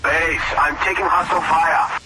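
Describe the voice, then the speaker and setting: An actor playing a cocky, excitable combat vehicle soldier calling out radio barks in a video game, with loud noise on the recording. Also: male voice, fairly high pitch, a little slow, lightly acted. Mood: excited.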